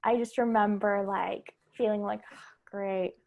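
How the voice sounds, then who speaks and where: A woman's voice: medium pitch, a little slow, gravelly, phone-quality sound. A young woman with a Canadian accent, a teacher, telling stories in a casual podcast chat. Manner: animated anecdote telling. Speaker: elementary teacher, guest. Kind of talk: casual conversation, storytelling.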